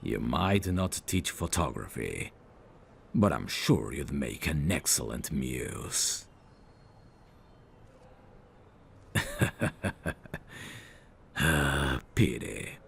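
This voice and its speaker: A man acting a teasing, menacing, flirtatious mafia boss in an ASMR roleplay, with a smug, gravelly, intimate drawl. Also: a bass voice, lively intonation, slow, a little hiss or hum, heavily acted. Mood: playful flirting.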